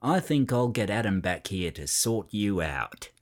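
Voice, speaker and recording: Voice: low-pitched, big pitch swings, measured pace, pitched below the performer's own voice. A narrator voicing a stern but fair farmer in a storybook, speaking firmly. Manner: firm and stern.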